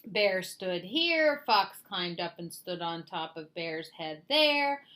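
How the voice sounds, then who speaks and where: A bright-voiced woman tells a children's story with very animated pitch. She reads slowly and theatrically, like a picture-book read-aloud for young listeners. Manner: theatrical, deliberate.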